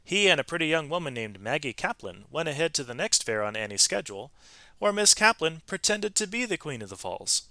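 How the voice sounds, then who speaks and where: Bright-voiced man reading a storybook aloud. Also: on the high side, big pitch swings, brisk, no echo. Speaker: read-aloud narrator. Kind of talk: expressive scripted storybook read-aloud.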